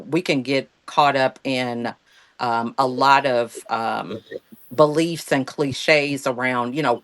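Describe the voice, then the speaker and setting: An American woman hosting an interview over a video call, affirming her guest and talking casually with lots of fillers. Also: rumbling low. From United States. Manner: warmly affirming, frequent "you know" fillers. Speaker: interview host.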